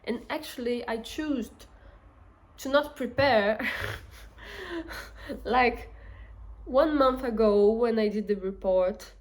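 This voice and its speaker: Slow-talking woman with a Portuguese accent. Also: fairly high pitch. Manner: unhurried, thinks aloud with many fillers and restarts. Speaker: vlogger. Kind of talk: casual reflective talk to camera.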